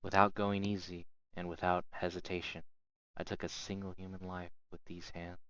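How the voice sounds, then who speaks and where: A man's voice: low-pitched, level tone, normal speed, smooth, no echo. A man reading visual novel narration aloud as a first-person story. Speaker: narrator reading subtitles of a game. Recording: dull lo-fi mic. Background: soft game music.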